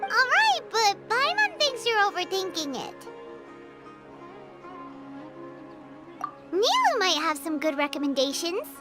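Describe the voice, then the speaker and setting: A woman voicing a tiny, childlike fairy companion in a video game, squeaky, chirpy and opinionated, speaking in exclamations. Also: sing-song, moderate pace. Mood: cheerful. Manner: refers to self in third person, exclamatory. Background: background music.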